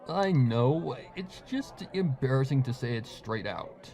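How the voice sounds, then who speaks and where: A man's voice: medium pitch, lively intonation, a little slow, dark tone. A man voicing an embarrassed, level-headed young male pony in a fan-fiction audio drama. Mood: embarrassed, wry.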